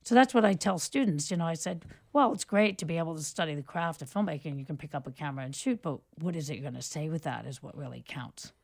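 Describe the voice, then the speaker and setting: A middle-aged woman with a notably low voice speaks as a guest in a relaxed interview. She rambles through her stories with frequent restarts and fillers. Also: fast talker, vocal fry, few pauses. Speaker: guest, photographer and filmmaker. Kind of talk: relaxed conversational storytelling.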